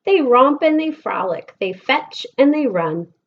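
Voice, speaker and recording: A woman with an American accent reads a picture book aloud to children with expressive, deliberate storybook phrasing, like a schoolteacher. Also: high-pitched, dull audio. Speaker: elementary school teacher reading aloud.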